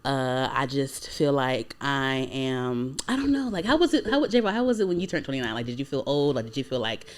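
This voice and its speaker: A woman's voice: rumbling low, sing-song, normal speed. A woman in her late twenties hosting a podcast, chatting casually with lots of fillers and "like"s. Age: 20s. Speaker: podcast host. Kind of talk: casual chat.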